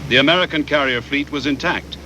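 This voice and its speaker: A man reading scripted historical documentary narration in a measured, formal way with deliberate pauses, on a VHS transfer. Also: fairly high pitch, pushed and bright, slight background noise, poor audio.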